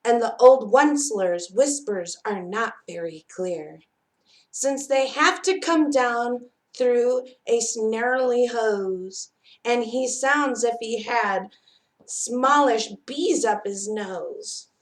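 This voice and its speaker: Slow, higher-voiced woman reading a storybook. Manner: expressive, measured storytelling. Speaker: library storyteller reading aloud. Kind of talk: children's picture book read-aloud.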